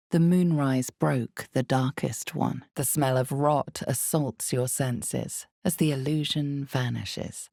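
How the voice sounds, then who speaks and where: A knowing, ominous female narrator for a dark fantasy video game. Her voice is strikingly low and thick with gravel. She speaks slowly and deliberately, letting suspense hang in the pauses. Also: no room sound, studio quality, heavily acted.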